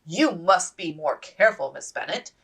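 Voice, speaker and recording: A woman's voice: lowish voice, animated, moderate pace, vocal fry, fairly bright tone, breathy edge, far from the mic, full character voice. A woman voicing a gallant young male army officer in a storybook, courtly and emphatic. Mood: smug gallantry.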